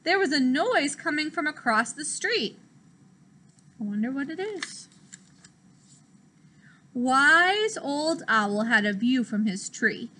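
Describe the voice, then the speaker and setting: Young female primary teacher for story time: high, bright, expressive and deliberate, classroom recording with faint noise. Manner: expressive, deliberate, child-directed. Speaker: teacher reading a picture book. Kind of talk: children's read-aloud. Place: small classroom, indoors.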